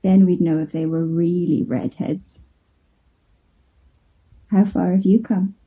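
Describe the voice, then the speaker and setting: Muffled, slow, eerie prying woman. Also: lowish voice, steady tone. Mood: eerie, eager. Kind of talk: horror audio drama acting.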